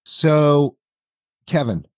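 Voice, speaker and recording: A man narrating a tabletop game as game master, halting between phrases. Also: on the high side, expressive, no room sound.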